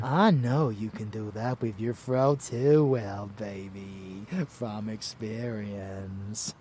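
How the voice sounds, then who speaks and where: A man playing a rebellious, murderous vampire lover in an intimate ASMR roleplay, teasing and menacing, breathy and often dropping into a whisper. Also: on the low side, noisy background. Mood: teasing, possessive.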